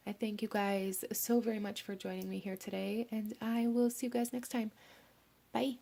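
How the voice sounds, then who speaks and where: A young woman, hoarse from a cold, giving a gentle pick-a-card tarot reading and slipping into soft whispers. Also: mid-range voice, little pitch movement, moderate pace. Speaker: tarot reader.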